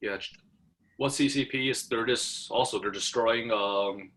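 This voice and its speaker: A man's voice: mid-range voice, fairly bright tone. A young man from Hong Kong chatting casually with his professor, full of fillers and restarts. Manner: halting, many fillers and restarts. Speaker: student. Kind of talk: casual conversation.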